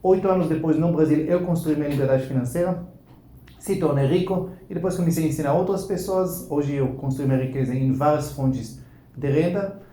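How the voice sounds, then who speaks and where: A man's voice: fairly high pitch, dark tone, echoey room. A man in his forties from Israel with an Israeli accent, telling his story as an interview guest in fluent but slightly accented Portuguese. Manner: fluent, fast, lightly accented Portuguese with small grammar slips. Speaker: financial educator guest.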